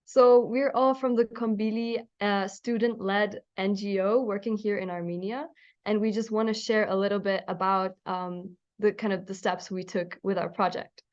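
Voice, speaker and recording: Young woman from Finland, student panelist, eager, mid pitch, muffled sound. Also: fairly even intonation, moderate pace, darkish tone. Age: young adult. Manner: eager, fluent, uses fillers like "um" and "you know". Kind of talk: presenting a project on a panel.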